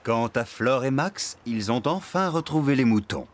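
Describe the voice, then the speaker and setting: An actor playing a calm storybook narrator, reading scripted narration for a French children's cartoon. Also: male voice, medium pitch, normal speed, natural voice. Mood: calm, storytelling. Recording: music under the voice.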